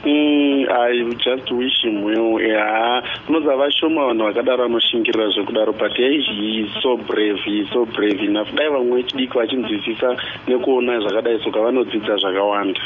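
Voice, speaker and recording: A man's voice: medium pitch, brisk. A man with a Zimbabwean accent calling a radio show, commenting casually in a mix of Shona and English. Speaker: radio caller. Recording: tinny phone line with faint noise.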